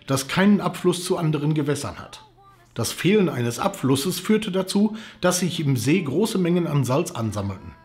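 A man's voice: on the high side, darkish tone. A man in his forties hosting a travel channel, presenting scripted narration to camera indoors. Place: indoors, living room. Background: background music.

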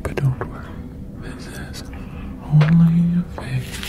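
Woman as a slow, whispering alien psychic. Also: low voice, steady tone. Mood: soothing, mysterious. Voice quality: whispery, breathy. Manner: slow, gentle, reassuring. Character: soothing cosmic alien psychic fortune teller. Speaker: ASMR performer. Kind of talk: ASMR roleplay.

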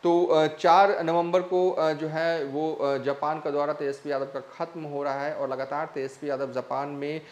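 A young man presenting a news report to camera with a lapel mic in a small studio, pausing often on "uh" fillers. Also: fairly high pitch, level tone, slight background noise. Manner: halting, many "uh" fillers. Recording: roomy echo.

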